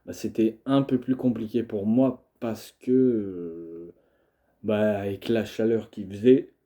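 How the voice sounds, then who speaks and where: A man's voice: fairly low pitch, fairly even intonation, slow pace, dark tone. A young man with a cold, talking casually to camera in a hesitant way, full of fillers and restarts. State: has a cold, coughing. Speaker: video creator.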